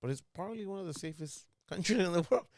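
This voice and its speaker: A man's voice: sits high, animated, fast, darkish tone, no room sound, studio quality. A man in his thirties co-hosting a podcast in loose, rambling casual chat, full of restarts and fillers. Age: 30s. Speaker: podcast co-host.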